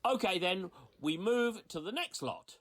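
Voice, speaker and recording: High-voiced male auctioneer acted by a man, formal and theatrical, hugely swooping delivery for radio drama. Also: very animated, measured pace, fairly bright tone, full character voice. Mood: brisk, formal. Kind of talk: amateur radio play acting.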